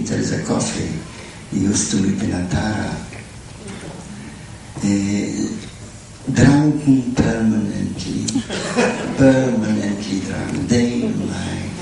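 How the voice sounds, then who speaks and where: An older man from Bukovina reminisces slowly and fondly, pausing often between phrases. His voice is low and gravelly. He is heard from a distant mic in a very echoey conference hall with faint ambience. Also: dark tone, a little hiss or hum. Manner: slow, halting, fond storytelling. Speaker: conference interviewer. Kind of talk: reminiscing onstage conversation.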